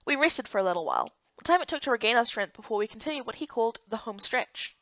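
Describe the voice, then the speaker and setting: A woman reading scripted first-person story narration in an expressive, dramatized manner. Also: a little high, quick pace, no room sound, muffled recording. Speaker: narrator and reader of a visual novel.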